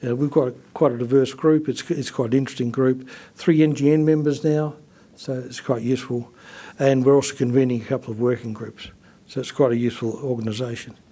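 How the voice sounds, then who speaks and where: A man presenting at an industry seminar in an easygoing, conversational way, with frequent fillers and restarts. His voice is mellow and dark, at an ordinary pitch. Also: fairly even intonation, a little fast.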